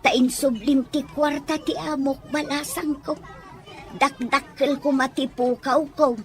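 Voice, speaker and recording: A woman's voice: high-pitched, fairly even intonation, unhurried, a faint crowd, slight background noise. A Filipino woman playing a kindly, humble, motherly servant, emotive and deferential, in a melodramatic audio drama.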